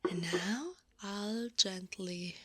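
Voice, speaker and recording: Breathy, slow-talking older centaur woman, acted by a woman. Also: medium pitch, no room sound, full character voice. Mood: soothing, affectionate. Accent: Italian. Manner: soft, breathy, coaxing, often whispering. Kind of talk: ASMR roleplay.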